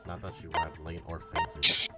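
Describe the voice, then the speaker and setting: A man's voice: extremely low pitch, level tone, moderate pace. A man casually chatting as he streams a game, swearing and thinking aloud. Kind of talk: casual chat while playing a game. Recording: game music behind voice.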